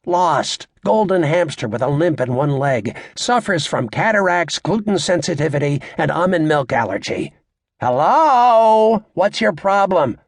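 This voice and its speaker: A man playing a brash, crude stand-up comedian working a club crowd in an audiobook, with a raspy voice and a cocky, sarcastic, showy, needling delivery. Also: fairly high pitch, expressive, measured pace, no echo, studio quality.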